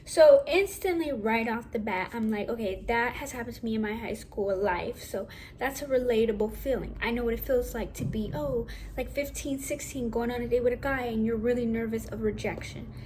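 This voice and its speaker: A young woman with a naturally high voice, talking casually and rambling a little as she shares acting tips from home. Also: normal speed, hardly any pauses, a little mellow, a little hiss or hum, low-quality recording. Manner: chatty, rambling, self-correcting, casual. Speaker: vlogger.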